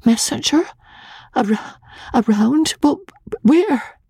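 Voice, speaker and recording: A woman's voice: sits high, lively intonation, extremely slow, warm tone, husky, no echo, pitched above the performer's own voice, exaggerated voice. A woman voicing a nervous young bride who stammers in a breathless, near-whispered voice. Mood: anxious, pleading.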